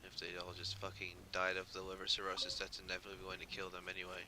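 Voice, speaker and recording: Low-voiced man grumbling, noisy recording. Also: monotone, fast talker. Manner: profane, slangy; grumbling, sardonic. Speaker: video game streamer. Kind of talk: casual live game commentary. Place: room at home with an open window. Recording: clearly noisy room recording. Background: background noise.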